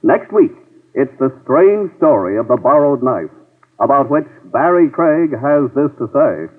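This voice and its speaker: Formal 1950s radio announcer. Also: male voice, medium pitch, big pitch swings, a little slow. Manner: crisp, formal announcer delivery. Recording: tinny old radio broadcast with music.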